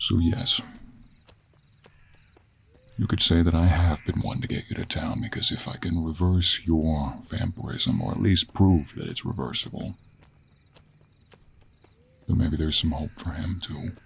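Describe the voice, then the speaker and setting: Man playing a gravel-throated, low-voiced Western cowboy. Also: fairly even intonation, unhurried, long pauses, heavily acted. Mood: confiding, troubled. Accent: American Western. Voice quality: gravelly, husky. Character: gruff, gentlemanly cowboy monster hunter, protective and teasing. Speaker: voice actor in audio roleplay. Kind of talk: intimate one-sided audio roleplay acting.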